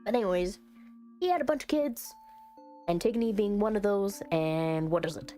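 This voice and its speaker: A woman chatting expressively and thinking out loud as she plays through a game and reads its text. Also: medium pitch, moderate pace. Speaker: game streamer. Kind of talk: casual let's-play commentary, reading game text aloud. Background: background music.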